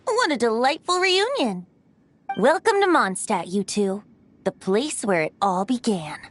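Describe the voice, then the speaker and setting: A woman playing a breezy, welcoming, playful young woman in an animated fantasy game. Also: fairly high pitch, takes it slowly, soft background music. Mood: amused. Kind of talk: animated video game dialogue.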